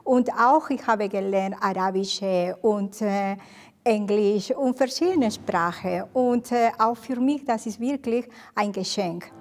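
A woman's voice: mid-range voice, takes it slowly. A woman choir member with a foreign accent, being interviewed in a language that is not her first. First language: not German. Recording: long reverberant room.

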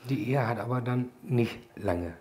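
Calm affectionate man in his sixties. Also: medium pitch, moderate pace. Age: 60s. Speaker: interviewee. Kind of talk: TV interview.

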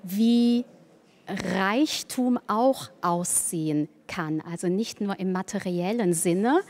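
A woman narrating a news report in a measured way. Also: mid-range voice. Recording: voice-over with soft music.

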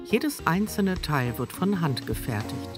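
A woman's voice: sits low, very animated, unhurried. A woman reading scripted narration for a television feature. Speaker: TV report narrator. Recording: music bed under the voice. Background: guitar music.

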